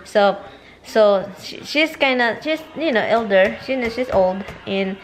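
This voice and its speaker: A woman's voice: medium pitch, lively intonation, slow, soft background music, low-quality recording. A woman in her thirties from the Philippines with a Filipino accent, chatting casually in a vlog, rambling and slipping in Filipino words, filmed on a camera held at arm's length at home and in her car. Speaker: vlogger. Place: home, then inside a car. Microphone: handheld camera at arm's length.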